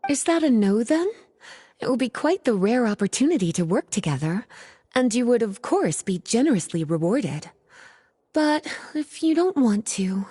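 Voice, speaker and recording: A woman playing a playful, teasing scholarly librarian witch in a video game, polished and unhurried. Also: on the high side, big pitch swings, dark tone, slightly rough, no room sound. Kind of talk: video game voice acting, scripted dialogue.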